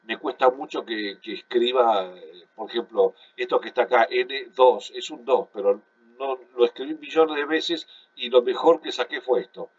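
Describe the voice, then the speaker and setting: A man's voice: medium pitch, moderate pace, slightly rough, highs cut off. A man, congested and coughing, explaining a physics problem in a recorded online class at his computer. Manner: steady explanatory, many fillers and restarts. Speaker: physics teacher. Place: at home at a computer.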